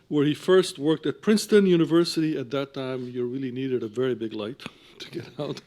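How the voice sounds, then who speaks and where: A man giving a formal, measured introduction as the director of an academic programme, partly reading it aloud and often clearing his throat. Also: medium pitch, a little mellow.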